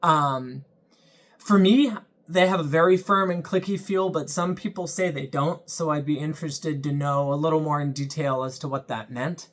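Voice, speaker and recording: A product reviewer talking through a handheld gadget in a measured, explanatory way, pausing now and then to think. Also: mid-range voice.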